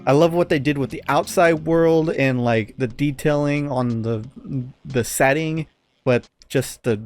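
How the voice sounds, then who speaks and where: A man's voice: on the high side, measured pace, faint music behind. A man co-hosting a casual podcast, giving blunt opinions with some swearing and often searching for words. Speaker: podcast co-host.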